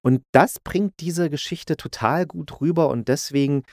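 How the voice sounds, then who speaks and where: A man's voice: on the high side, a little slow, darkish tone, dry recording, clean studio sound. A middle-aged man from East Germany giving a casual, colloquial and enthusiastic review as a podcast host. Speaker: audio drama critic, podcast host.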